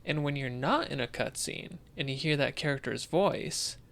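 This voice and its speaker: A man's voice: a little high, moderate pace, creaky, fairly bright tone. A man co-hosting a casual discussion, thinking aloud a bit hesitantly as he talks. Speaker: podcast co-host. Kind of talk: casual discussion of video games.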